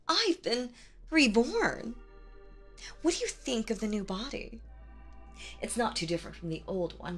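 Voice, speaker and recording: Slow, commanding demon queen villainess, played by a woman. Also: mid-range voice, fairly bright tone. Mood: gloating, triumphant. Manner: theatrical, commanding, slow and deliberate with teasing menace. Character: seductive, domineering demon queen villainess, reborn and gloating. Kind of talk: dramatic audio roleplay addressed to the listener.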